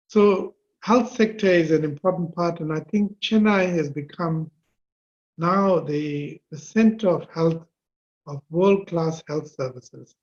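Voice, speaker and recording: A man's voice: on the high side, a little mellow, lo-fi sound. A man from giving an earnest conference speech, sometimes repeating words as he restarts. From South Africa. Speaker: conference speaker.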